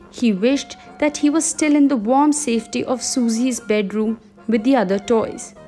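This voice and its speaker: Slow, gentle woman reading a bedtime story. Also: on the high side.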